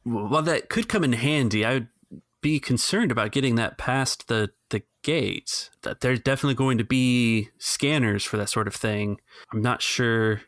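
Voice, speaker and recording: A man's voice: mid-range voice, moderate pace, fairly bright tone, dry recording, studio quality. A man acting as game master and podcast host, talking casually.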